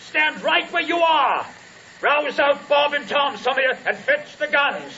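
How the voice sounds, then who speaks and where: Commanding old Southern patriarch, hissy radio. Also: male voice, extremely high pitch, expressive, a little slow, pushed and bright, lo-fi sound, exaggerated voice. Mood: stern, suspicious. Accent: American Southern. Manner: commanding, folksy rural speech. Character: stern, wary Southern family patriarch about sixty. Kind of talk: old-time radio drama acting.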